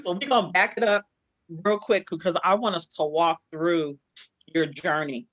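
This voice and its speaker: A woman hosting an online interview show, warm and encouraging, who calls her guest "sis". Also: lowish voice, steady tone, moderate pace, no room sound. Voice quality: speaks from personal experience of hair loss. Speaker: host. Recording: dry, muffled sound.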